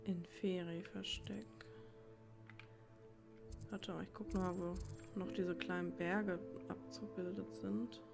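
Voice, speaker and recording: A woman's voice: fairly low pitch, flat delivery, slow, gravelly, long pauses, over music. A woman giving casual gameplay commentary, musing as if thinking aloud to herself. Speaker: let's play gamer.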